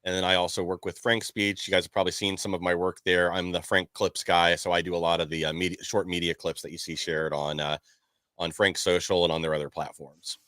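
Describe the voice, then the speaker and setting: A man's voice: low voice, level tone, a little fast, a bit bright, no room sound, clean studio sound. A man co-hosting a live stream, talking in a modest, easygoing way with some hesitation. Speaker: co-host. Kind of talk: casual live-stream commentary.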